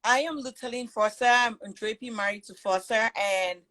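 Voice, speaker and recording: A woman's voice: fairly high pitch, normal speed, fairly bright tone. A middle-aged woman from Cameroon, a business founder talking earnestly and at length as a guest on a live video call. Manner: earnest, talkative, with restarts.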